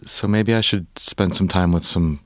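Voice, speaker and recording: A man's voice: low-pitched, steady tone, normal speed, a little hiss or hum. A man who works in theater, chatting casually on a panel.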